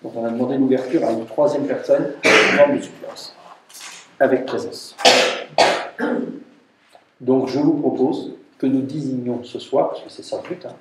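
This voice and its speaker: A man speaking as a mayor at a council meeting, explaining things off the cuff in an emphatic way and repeating himself. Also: mid-range voice. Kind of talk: formal council briefing, spoken off the cuff. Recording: roomy, distant mic, faint noise and clicks.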